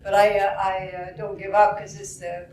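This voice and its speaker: A woman in her seventies from Alberta, telling her stories at a conference in a halting, informal way. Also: mid-range voice, little pitch movement, darkish tone, slight background noise. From Alberta, Canada. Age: 70s. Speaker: storyteller. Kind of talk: spoken storytelling. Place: conference room. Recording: roomy, distant from the mic.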